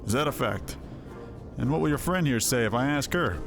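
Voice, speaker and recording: A man voicing a gruff, no-nonsense mercenary chief in a fantasy game, scolding bluntly. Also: mid-range voice, animated, brisk. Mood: suspicious. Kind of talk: voiced fantasy game dialogue. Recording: music under the voice.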